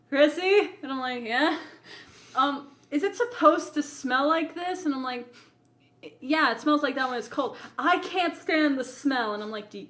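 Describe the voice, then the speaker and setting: High-voiced, playful woman chatting on a podcast. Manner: playful, teasing, often says "like". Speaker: podcast co-host. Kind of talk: casual chat, animated storytelling.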